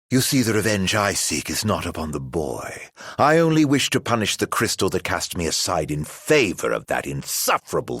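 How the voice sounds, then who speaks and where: An actor playing a mocking, theatrical immortal villain in a video game, drawling mock-polite taunts in a husky, gravelly voice. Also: male voice, low voice, dry recording, clean studio sound, exaggerated voice. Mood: smug, theatrical.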